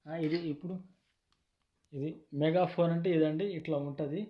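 A man with a slightly high, very even voice presents a product informally. He explains deliberately, phrase by phrase, often repeating himself. The recording is dull and lo-fi. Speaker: YouTube product reviewer. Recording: dull lo-fi sound.

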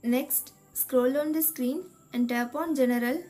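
A woman reading a scripted step-by-step tech tutorial in a measured, instructional manner. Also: high-pitched, dark tone. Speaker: tutorial narrator. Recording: voice-over with music behind. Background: background music.

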